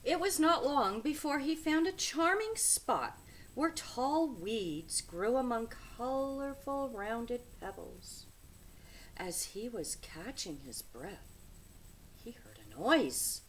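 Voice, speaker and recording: A woman reading a children's picture book aloud with dramatic, deliberate phrasing, speaking to young listeners. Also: a little high, low-quality recording. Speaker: read-aloud storyteller. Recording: steady background noise.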